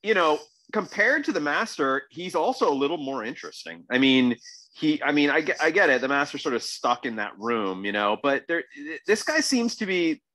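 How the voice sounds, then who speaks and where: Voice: medium pitch, big pitch swings, moderate pace, bright, forceful tone, dry recording. A podcast co-host giving casual, emphatic commentary on a TV episode, repeating phrases to make a point.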